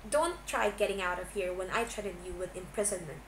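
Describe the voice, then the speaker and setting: A woman's voice: on the low side, brisk, echoey room, natural voice. A woman voicing a cold, suspicious young businessman in a fan fiction reading, curt, angry and demanding, on a noisy amateur recording. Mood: angry, scornful. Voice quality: gruff.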